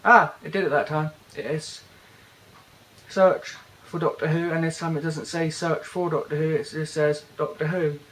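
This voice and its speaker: Young British man, slightly high, flat delivery, halting with lots of fillers; casual gadget walkthrough recorded indoors on a camera mic. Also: low-quality recording. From Britain. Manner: hesitant, many fillers and restarts. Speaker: tech reviewer. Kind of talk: casual hands-on product walkthrough. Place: indoors, bedroom.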